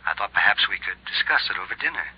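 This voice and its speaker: A man's voice: low voice, lively intonation, quick pace, husky, heavily acted. An American man playing a polite, formal middle-aged insurance agent heard through a telephone filter in a 1950s radio drama. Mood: politely concerned. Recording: dull telephone-filtered old radio recording.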